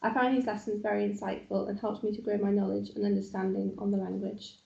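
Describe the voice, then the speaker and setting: A woman's voice: mid-range voice, little pitch movement, quick pace, gravelly, a little mellow, echoey room, lo-fi sound. A young woman student reading a prepared account of her lessons, earnest, with ums. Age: young adult.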